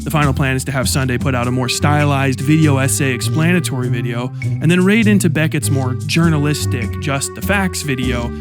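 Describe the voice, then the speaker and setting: A man's voice: mid-range voice, a little fast. Man narrating a pointed video essay. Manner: pointed and accusatory. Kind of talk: scripted narration. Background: music underneath.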